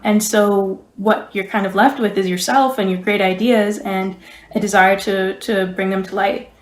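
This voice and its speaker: A woman's voice: medium pitch, steady tone, normal speed. A young woman speaking thoughtfully as a guest in a podcast discussion. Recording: roomy, echoey voice pickup.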